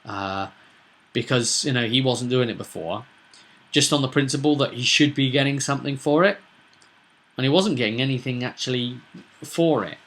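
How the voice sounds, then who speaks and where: A man's voice: mid-range voice, moderate pace, fairly bright tone. A man hosting a tabletop roleplay vlog, explaining the game in a casual, rambling, conversational way with frequent asides to the listener.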